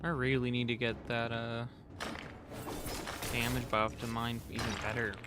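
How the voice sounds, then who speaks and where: A man chats casually as he streams a game. His talk rambles along in slangy, loose sentences. The mid-pitched voice sits in clearly audible background noise with small clicks. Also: little pitch movement, normal speed. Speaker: game livestreamer. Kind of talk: casual gaming stream chat.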